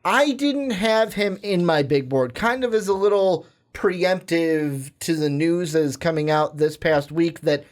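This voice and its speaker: A man co-hosting a sports podcast, running the segment and plugging the show in a chatty, energetic way. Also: a little high, unhurried, few pauses. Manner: energetic, plugs and runs the segment. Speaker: podcast co-host. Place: podcast studio.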